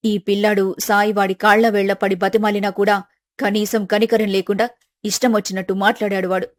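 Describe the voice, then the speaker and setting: Protective middle-aged mother, played by a woman, storybook drama, commanding and emphatic, pitched well under the performer's own voice. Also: flat delivery, brisk, no room sound, clean studio sound, close to an everyday voice. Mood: bitter, concerned. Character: angry protective mother, middle-aged, fierce yet loving.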